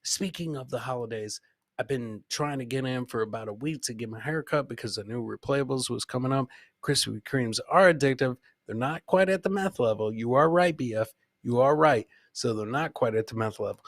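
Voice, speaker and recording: A man's voice: medium pitch, a little fast, no room sound, studio quality. A man hosting a live comedy show, riffing casually on clips in a rambling, profane, jokey way.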